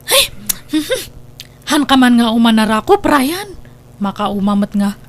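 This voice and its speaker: A woman's voice: on the high side, big pitch swings, slow pace, exaggerated voice. A woman with an Ilocano Filipino accent acting in a comedy drama as a cheery, greedy young wife, giggly and wheedling. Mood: sheepish. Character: greedy, lazy young wife who mooches meals, cheery.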